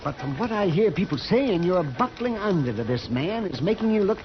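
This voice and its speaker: Older frontier doc, high male voice, swooping intonation, folksy, wry, vintage radio drama. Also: normal speed, over music. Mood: concerned. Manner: folksy, animated, fussing. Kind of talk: 1950s radio drama acting. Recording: dull lo-fi 1950s radio recording.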